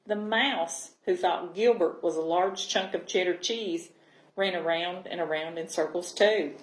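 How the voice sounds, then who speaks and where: A woman reading a picture book aloud to young children as a library storyteller, in an expressive manner. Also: on the low side. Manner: expressive, pausing to check understanding with listeners. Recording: roomy, echoing sound.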